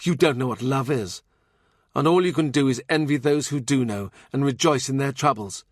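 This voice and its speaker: Man voicing a scornful young noblewoman, pitched up. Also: moderate pace, dry recording, studio quality, natural voice. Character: defiant scornful young noblewoman, hot-tempered and cast out.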